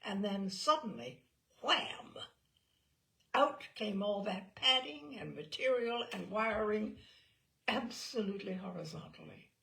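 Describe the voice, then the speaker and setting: A woman with a heavily creaky, gravelly voice reads a humorous personal essay aloud. She takes her time, swooping widely in pitch and leaning on key words with wry, theatrical emphasis. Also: expressive, measured pace, fairly bright tone. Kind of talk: expressive dramatic reading of a comic personal essay.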